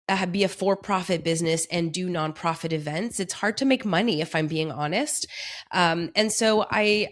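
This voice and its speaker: Chatty French-Canadian woman. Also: medium pitch. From Montreal, Canada. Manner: chatty, candid, fillers. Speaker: wedding planner, guest. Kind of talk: casual interview chat.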